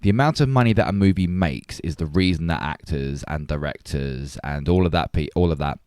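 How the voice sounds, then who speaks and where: A man's voice: a bass voice, brisk, darkish tone, smooth, no room sound, clean studio sound. A man hosting a podcast, arguing his points emphatically in a conversational film debate. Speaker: podcast host.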